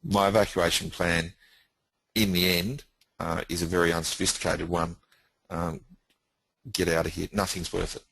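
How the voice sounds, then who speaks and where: Deep gravelly plain-spoken man. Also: flat delivery, moderate pace, long pauses, fairly bright tone, no echo, rough recording. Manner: plain-spoken, emphatic, repeats himself. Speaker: guest business owner. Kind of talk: casual recounting.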